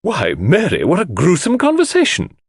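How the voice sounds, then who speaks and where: A man's voice: high voice, huge pitch swings, moderate pace, slightly rough, no room sound, studio quality, voice pushed up, heavily acted. A man playing a talkative, fussy matriarch in an audiobook, with a gushing, exclamatory manner.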